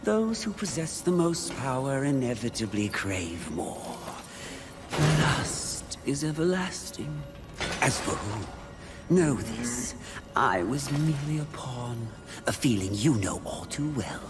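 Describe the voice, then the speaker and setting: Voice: mid-range voice, sing-song, takes it slowly. An actor playing a seductive, scheming sin who taunts in a silky, sneering way over game music. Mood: taunting. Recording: cinematic game audio with music.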